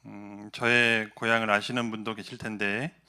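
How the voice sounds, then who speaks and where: Bright-voiced pastor preaching conversationally. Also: male voice, fairly low pitch, little pitch movement, moderate pace, clear, resonant tone. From Gyeongsan, North Gyeongsang, South Korea. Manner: conversational, many fillers, asks the congregation questions. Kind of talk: sermon.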